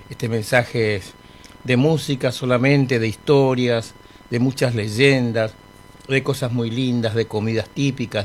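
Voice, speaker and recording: A middle-aged man with an Argentine accent, hosting a radio music show in a relaxed, rambling way between songs, with bits of humour. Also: mid-range voice. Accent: Argentine Spanish. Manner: chatty, rambling, friendly with humour. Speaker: radio host. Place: radio studio.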